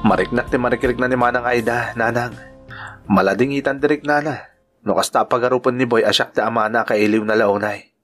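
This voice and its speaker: Actor as a gentle mid-pitched uncle. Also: male voice, fairly quick, natural voice. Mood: gentle concern. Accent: Ilocano. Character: kindly adult uncle, gentle dutiful working man. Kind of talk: Ilocano audio drama acting. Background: music.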